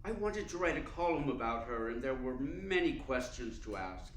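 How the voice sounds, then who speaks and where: An actor playing an urbane, cunning theater columnist in a radio play, speaking in a suave, deliberate, formal way. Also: male voice, mid-range voice, sing-song, natural voice. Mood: arch, intrigued. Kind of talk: radio play narration and dialogue. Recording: roomy echo, faint background noise.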